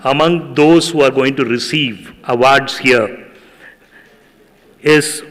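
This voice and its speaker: A middle-aged man whose first language is Tamil, giving an earnest, measured speech as a guest speaker at a ceremony. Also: mid-range voice, a bit bright. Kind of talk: formal speech at an event. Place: hall at a public ceremony. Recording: echoey hall with long reverb.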